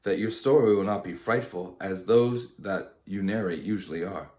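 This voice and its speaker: A man's voice: deep voice, moderate pace, dark tone, lightly acted. Storybook mother male reader, politely stern, concerned, formal, echoey room. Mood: stern, wary.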